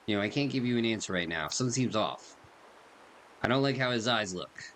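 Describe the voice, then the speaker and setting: A man's voice: fairly low pitch, lively intonation, fairly quick, a bit bright, a little hiss or hum, low-quality recording. A man giving casual, joking commentary over a video game, with slangy wisecracks. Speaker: gaming video creator.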